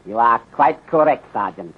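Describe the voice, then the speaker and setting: An actor playing a courteous, shrewd detective in a 1930s radio drama, speaking formally and with measured politeness, often dropping articles. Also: male voice, mid-range voice, lively intonation, slightly rough. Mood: deliberate, confident. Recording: muffled, tinny 1930s radio recording.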